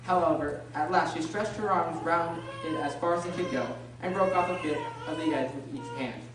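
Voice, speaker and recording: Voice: medium pitch, moderate pace, lightly acted. A narrator reading scripted storybook narration for an amateur radio drama. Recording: very echoey room, distant mic, noisy.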